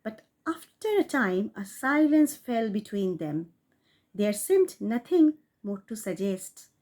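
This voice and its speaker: A woman reading a short story aloud in a measured, expressive narration. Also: medium pitch. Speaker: audiobook reader.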